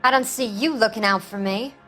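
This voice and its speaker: Brassy, wheedling crook with a highish voice. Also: female voice, fairly quick, pushed and bright, soft background music. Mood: sulky, defiant. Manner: slangy, flirtatious, wheedling. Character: brassy, wheedling female car-theft suspect, slangy and self-pitying. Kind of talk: 1940s crime drama game acting.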